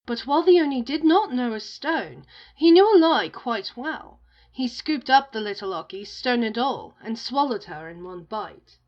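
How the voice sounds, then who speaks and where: A woman's voice: on the high side, animated, moderate pace, dull audio, lightly acted. A woman narrating a folktale as a storyteller, in a scripted storybook style.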